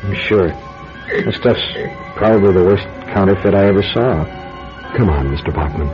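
An actor playing a casual, practical American police officer in an old-time radio drama, speaking in plain colloquial phrases with some hesitation. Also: male voice, deep voice, expressive, moderate pace, music in the background. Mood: matter-of-fact. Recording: dull, hissy old radio recording.

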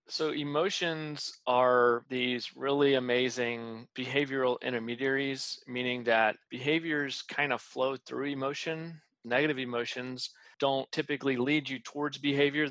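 A man in a professor's role explains his ideas in a measured, academic way. His pitch sits in the middle. The recording is dry and close. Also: fairly even intonation, fairly bright tone, dry recording. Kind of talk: explaining in interview clip.

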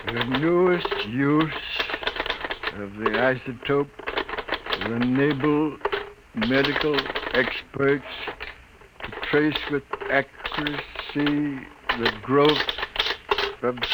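A man's voice: medium pitch, extremely slow, pauses often. A man playing a sour, sarcastic, exasperated newspaper editor, grumbling through an old-time radio comedy drama. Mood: sardonic, weary. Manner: sardonic, gruff in wording, grumbling. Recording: noisy old radio recording.

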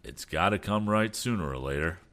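A man voicing an aged inventor, a steady, determined father with a measured, authoritative manner, in a storybook. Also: sits low. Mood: reassuring.

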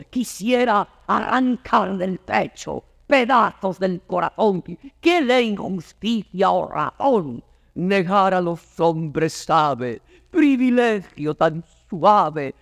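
Anguished caged prince, high and echoing. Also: male voice, creaky, a bit bright, exaggerated voice. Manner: grand, impassioned declamation. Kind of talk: declaimed classical Spanish verse drama. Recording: old theatrical record, long hall reverb.

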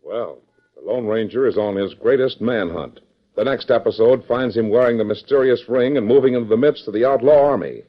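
Old-time radio drama announcer. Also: male voice, medium pitch, brisk. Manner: dramatic, urgent narration. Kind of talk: 1930s radio drama narration. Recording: dull lo-fi old radio recording over music.

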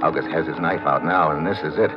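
An actor playing a wry, tough American secret agent hero in a 1950s radio drama, with sardonic asides. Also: male voice, very deep voice, fairly even intonation, fast, lo-fi sound, music in the background. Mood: urgent narrating. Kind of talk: 1950s radio drama acting and first-person narration.

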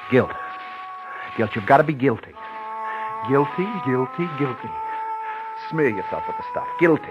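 An actor playing a scheming male prisoner who fakes guilt-crazed madness, switching between sly murmurs and theatrical shouting in a 1940s radio play style. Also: medium pitch, close to an everyday voice, poor audio. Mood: self-coaching.

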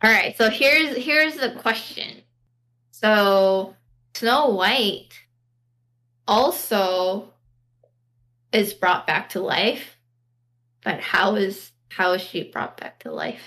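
Unhurried woman hosting a casual podcast. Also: medium pitch, pushed and bright, rough recording. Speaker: podcast host running a quiz on fairy tales. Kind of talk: casual storytelling chat.

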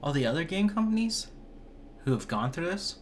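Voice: mid-range voice, very animated, brisk. A podcast co-host with a mid-range voice speaks in casual chat. The delivery is blunt and profane, with dry jokes. A faint noise runs beneath the voice.

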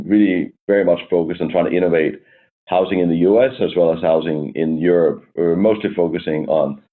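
Guy, very low voice, lots of ums, sounds like a phone call. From Denmark. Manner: measured, hesitant, many ums. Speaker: guest, company executive. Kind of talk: interview answers. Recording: tinny phone-like line.

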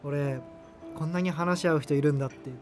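Guy playing nerdy college boy in a radio play, kinda high voice, hesitant n apologetic, faint music. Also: steady tone, fairly quick, darkish tone, long reverb tail, natural voice. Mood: awkward, earnest. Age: young adult. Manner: hesitant, self-conscious, apologetic. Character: earnest, awkward male university student obsessed with subculture. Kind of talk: radio drama dialogue and inner narration. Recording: music behind the voice.

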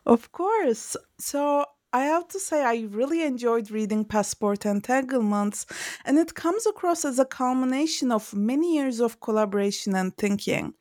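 A woman with a fairly high voice hosts a book interview podcast. She introduces her guest and asks questions in a polite, measured way, as if reading from a script. Her voice sounds dry and close. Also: animated, a little mellow, no echo. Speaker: podcast host.

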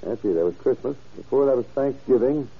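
An actor in an old-time radio crime drama playing a helpful, matter-of-fact townsman with a husky voice. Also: mid-range voice, lively intonation, fairly quick. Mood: pondering. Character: helpful, matter-of-fact male townsman, deputy or bank cashier. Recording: muffled vintage radio drama recording.

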